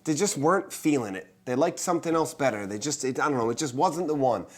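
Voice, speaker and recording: Animated man telling casual stories. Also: medium pitch, fast. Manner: joking, self-interrupting, conversational. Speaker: real estate agent and show host. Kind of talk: casual storytelling.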